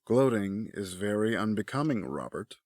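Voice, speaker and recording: A man's voice: on the low side, big pitch swings, moderate pace, darkish tone, dry recording, clean studio sound. A man playing a grieving, bitter, brooding figure in an audio drama, grave and intense in delivery. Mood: subdued.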